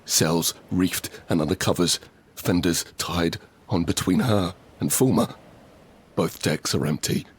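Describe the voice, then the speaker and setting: Really raspy low voiced dad in an apocalypse audio drama, brooding n vengeful, slow intense narration. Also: male voice. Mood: tense, watchful. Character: desperate, vengeful father narrating a post-apocalyptic survival. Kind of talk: first-person scripted audio drama narration.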